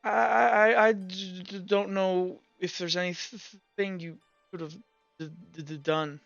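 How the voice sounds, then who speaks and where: Man as teenage orphan boy, timid, stuttering, halting, clear-toned, tabletop roleplay character. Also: high-pitched, steady tone, a bit bright, no echo, muffled recording, faint music behind, voice pushed up, heavily acted. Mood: sad, halting. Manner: heavy stutter, hesitant. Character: timid awkward stammering teenage boy, tender-hearted orphan.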